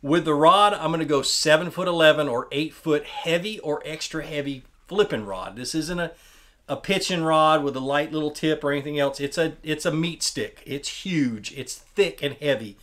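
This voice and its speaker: A man in his thirties hosting a fishing channel, talking through his tackle in a relaxed, plainspoken way, often restarting words as he handles the gear. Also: fairly high pitch, moderate pace. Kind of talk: casual tackle explainer. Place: small indoor studio with wooden wall.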